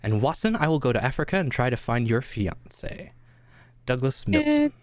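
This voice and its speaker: A man reading the part of a brisk, confident English gentleman detective in an amateur radio play. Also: mid-range voice, huge pitch swings, no room sound, pitched below the performer's own voice. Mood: confident, deliberate.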